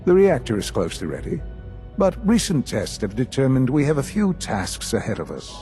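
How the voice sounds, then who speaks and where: An actor playing a grave older institute leader in a video game, speaking formally and deliberately with measured pauses. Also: male voice, medium pitch, huge pitch swings, warm tone, faint music behind. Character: grave older male leader of a secretive science institute, dying.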